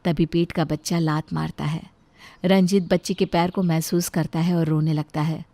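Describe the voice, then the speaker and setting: Lower-pitched woman narrating a story. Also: level tone, moderate pace, mellow, no echo. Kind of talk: scripted narration of a moral story.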